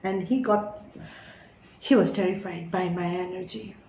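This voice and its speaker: A woman's voice: lowish voice, fairly even intonation, measured pace, warm tone, echoey room, slight background noise, rough recording. A woman in her thirties who reads tarot, talking in an emphatic spiritual monologue and often checking in with "all right?". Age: 30s. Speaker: tarot reader.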